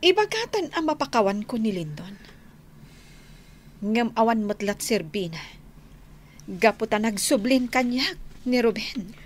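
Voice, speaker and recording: A woman's voice: fairly high pitch, very animated, measured pace, long pauses. An actress with an Ilocano accent playing a stubborn, wounded young woman in a radio drama, emphatic and melodramatic. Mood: indignant. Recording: slightly noisy AM radio.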